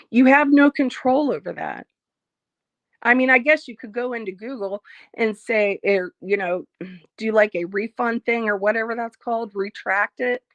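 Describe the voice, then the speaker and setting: Blunt, slightly hoarse woman on a livestream. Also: a little high, no room sound, dull audio. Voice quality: frank, blunt, swears freely. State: losing her voice, a bit hoarse. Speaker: livestream host. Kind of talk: casual livestream chat reading comments.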